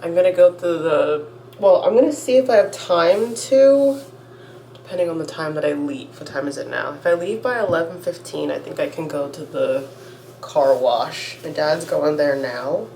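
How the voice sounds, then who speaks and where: A woman's voice: sits low, moderate pace, warm tone, a little hiss or hum. Chatty young woman with a stuffy cold. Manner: chatty, rambling, self-interrupting. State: congested, getting over a cold. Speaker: vlogger. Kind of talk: casual get-ready-with-me chat. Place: at home, indoors.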